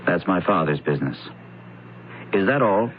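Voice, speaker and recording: A man's voice: sits low, animated, normal speed. A man playing an irritable, evasive wealthy heir under suspicion in a radio mystery, guarded and testy. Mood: curt, evasive. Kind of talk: radio mystery drama acting. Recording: muffled, faintly noisy old radio broadcast.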